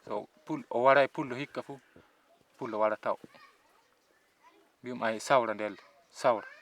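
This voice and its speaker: Mid-pitched man recounting as witness. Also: fairly bright tone. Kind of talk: spontaneous testimony.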